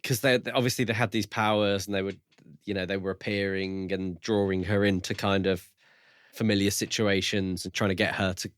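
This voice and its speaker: Low-voiced man, casual and dry-sounding. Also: flat delivery, brisk, fairly bright tone, clear, resonant tone, no echo. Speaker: podcast co-host reviewing a book. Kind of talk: casual book discussion.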